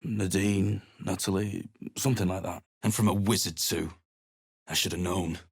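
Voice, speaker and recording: A middle-aged man voicing a surly, weary male mercenary adventurer in a video game, talking in a gruff, blunt and sarcastic way. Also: low voice, steady tone, normal speed, dry recording, clean studio sound, full character voice. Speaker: game character.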